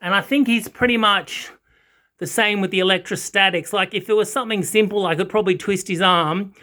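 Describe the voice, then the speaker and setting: An Australian man gives an off-the-cuff hobbyist review in a notably high voice. His tone is bright and keen, and he rambles along with halting, enthusiastic phrasing. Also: fairly even intonation. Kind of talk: casual unscripted product chat. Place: small carpet-and-wood home listening room with foam panels.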